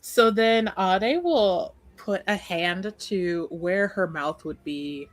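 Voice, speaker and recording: A woman's voice: mid-range voice, slow pace. A woman chatting casually as a player in a tabletop roleplaying game, working things out as she talks. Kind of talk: casual improvised game chat.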